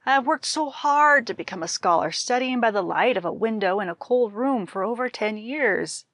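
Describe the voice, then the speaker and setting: A woman's voice: fairly high pitch, animated, moderate pace, a bit bright, dry recording. A woman voicing a cowardly young male scholar in a folktale, humble and imploring. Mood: pleading.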